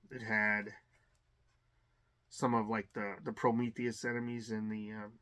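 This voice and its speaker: A man's voice: mid-range voice, sharp tone. A man giving a casual, rambling unboxing commentary on a tabletop game, full of fillers and restarts.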